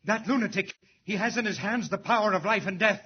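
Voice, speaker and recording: An actor as a frantic old radio scientist. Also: male voice, high-pitched, fairly quick, slight background noise, close to an everyday voice. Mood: panicked. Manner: agitated, urgent, breathless pleading. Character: frantic older male atomic scientist. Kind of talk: 1940s radio acting. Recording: dull lo-fi old radio broadcast.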